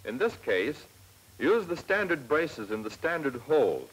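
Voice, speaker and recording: An American man narrating a 1940s military instructional film in a plain, measured, step-by-step manner, on an old film soundtrack. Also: medium pitch, sing-song, husky, rough recording. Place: scripted voice-over for film.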